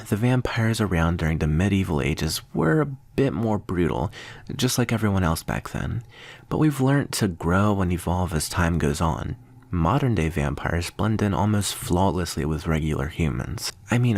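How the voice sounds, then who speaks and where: A smug vampire schoolteacher acted by a man speaks in a very low, husky voice. He is amused and condescending, teasing his listener with slow, deliberate pauses. It is an intimate ASMR roleplay with a very dry sound. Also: dry recording, heavily acted. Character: smug, amused male vampire teacher lecturing a student.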